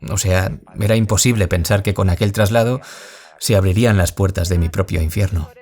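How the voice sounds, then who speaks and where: Actor as a low-voiced, wounded young man. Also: fairly even intonation, darkish tone, slightly rough. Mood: reflective. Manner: confessional, reflective, measured. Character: gentle, wounded young man, former bullying victim turned author. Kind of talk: audio drama acting as a radio interview guest.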